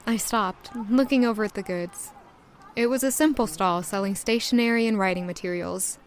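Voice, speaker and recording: An actress playing a proud, wistful young castle maid, narrating reflectively in the first person for an audio drama. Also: female voice, mid-range voice, normal speed, close to an everyday voice. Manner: reflective, descriptive.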